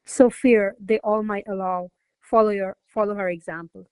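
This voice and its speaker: A woman playing a haughty, jealous noble mistress in a classical radio play, speaking in a formal, imperious, measured manner. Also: mid-range voice, fairly even intonation, clear, resonant tone, no echo, close to an everyday voice, low-quality recording. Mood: stern, imperious. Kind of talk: stage-style radio play acting of classical verse.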